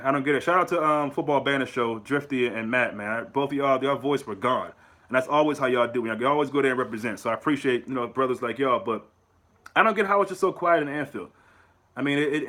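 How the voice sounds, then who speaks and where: Fast-talking American man on a rant. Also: medium pitch. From United States. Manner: animated, repeats words and restarts, rising on rhetorical questions. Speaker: fan channel host. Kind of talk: casual solo commentary to camera.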